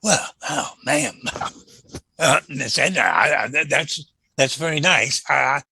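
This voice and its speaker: A man's voice: on the high side, slow, gravelly, sharp tone, breathy edge, no echo, full character voice. A man playing a meek, folksy assistant to an Old West marshal in a radio western, with a hesitant, stammering, deferential delivery. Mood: flustered. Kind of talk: old-time western radio drama acting.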